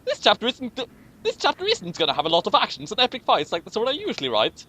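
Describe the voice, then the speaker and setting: A man with a fairly high, bright voice hosts a comic reading with commentary. His pitch swings in a lively way, and he fumbles sentences and repeats them. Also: fast talker. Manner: stumbles over words and rereads lines. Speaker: commentary host reading fan fiction. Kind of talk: comic read-aloud with commentary.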